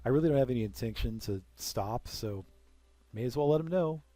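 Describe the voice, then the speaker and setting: A young man talks his way through a strategy game in a mellow, relaxed voice, close to a dry desk microphone. He rambles from point to point and drops self-deprecating jokes along the way. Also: mid-range voice, fairly quick, dry recording. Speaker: let's play commentator. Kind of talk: casual game commentary.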